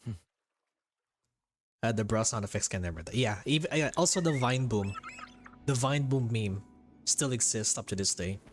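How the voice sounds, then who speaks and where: A man's voice: medium pitch, animated, normal speed, pauses often. Rambling Filipino man streaming a game. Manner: rambling, repeats phrases. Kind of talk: casual live gameplay commentary, chatting with viewers. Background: faint game music.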